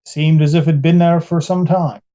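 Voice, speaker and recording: Man playing mellow, plainspoken police witness. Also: fairly high pitch, fairly even intonation, moderate pace, lo-fi sound, lightly acted. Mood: matter-of-fact. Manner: plain, matter-of-fact, deferential answers. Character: plainspoken, deferential male 1890s police captain on witness stand. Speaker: voice actor reading a trial transcript. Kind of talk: dramatized courtroom testimony reading.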